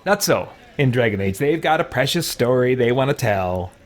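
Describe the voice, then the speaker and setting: A man's voice: medium pitch, expressive, moderate pace. A man giving chatty, wry commentary on a game stream, sometimes reading game text aloud.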